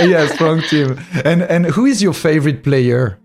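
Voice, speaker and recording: A man's voice: a little high, measured pace. A man from France with a French accent, hosting a casual interview in hesitant second-language phrasing, sounding amused. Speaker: interviewer and show host. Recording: music behind the voice.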